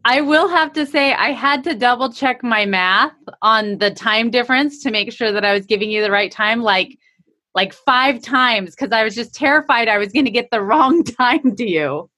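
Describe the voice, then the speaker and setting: A woman's voice: a little high, moderate pace, bright, forceful tone. A woman speaking as a podcast host in a casual, chatty interview style.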